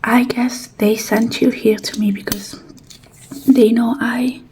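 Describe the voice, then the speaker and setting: Slow, whispering ASMR woman. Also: fairly high pitch, fairly even intonation, slight background noise. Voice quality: breathy whisper. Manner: gentle, unhurried, reassuring. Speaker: ASMR performer roleplaying a robot technician. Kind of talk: ASMR roleplay, whispered and soft-spoken.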